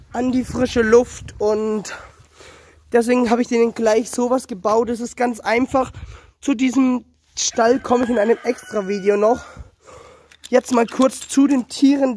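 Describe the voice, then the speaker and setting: A man's voice: very high voice, a little mellow. Young man with a cold, rambling farm vlog. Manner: rambling, self-correcting, addresses viewers directly. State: has a cold, slightly hoarse. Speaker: small-animal farm vlogger. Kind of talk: casual walk-around vlog. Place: outdoors on a small farmyard. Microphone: handheld phone camera.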